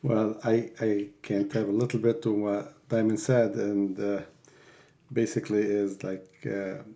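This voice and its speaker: A man's voice: on the low side, flat delivery, a little slow, vocal fry, warm tone. A man, a father of four, talking hesitantly and searching for words in a family chat. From Lebanon. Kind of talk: casual family conversation.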